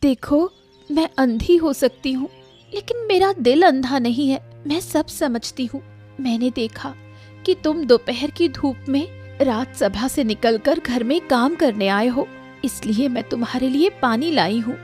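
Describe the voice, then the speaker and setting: A woman voicing a gentle, self-doubting young wife in a cartoon story, earnest and plaintive. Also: high voice, unhurried, soft background music. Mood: earnest, tender. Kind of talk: Hindi cartoon story voice acting.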